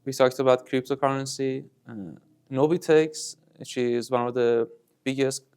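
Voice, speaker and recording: A man from Iran co-hosting a casual chat, measured and slow, with frequent fillers. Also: mid-range voice, fairly even intonation, gravelly, darkish tone, clear, resonant tone. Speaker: co-host.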